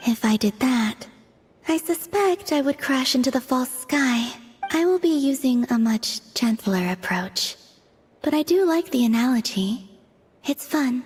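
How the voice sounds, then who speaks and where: A serene, detached young maiden from another world for a video game. The voice is fairly high, soft and breathy, with measured, almost deadpan phrasing. Long reverb and background music surround it. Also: female voice. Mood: gently deadpan. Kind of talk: dramatic video game voice acting.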